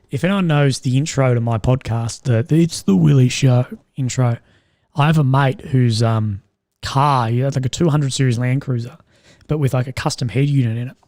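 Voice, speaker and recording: A young man with a strained, slightly croaky voice, rambling and swearing as he chats with viewers on a live stream. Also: medium pitch, a little mellow, dry recording, studio quality. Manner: rambling, swears often, laughs at own jokes. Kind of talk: casual live stream chat.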